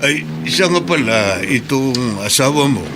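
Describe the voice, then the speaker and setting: A sorrowful middle-aged widower in a radio play, earnest and heavy-hearted, voice sitting at a middle pitch. behind him runs a clear hum of noise with traffic going past. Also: male voice, lively intonation, unhurried. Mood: sad. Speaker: radio drama actor. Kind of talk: radio drama acting.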